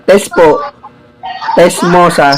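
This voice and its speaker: A man's voice: high voice, steady tone, slow pace, background noise. A Filipino man on a casual prank call, posing as a delivery rider with polite, hesitant patter. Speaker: prank-calling vlogger. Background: music.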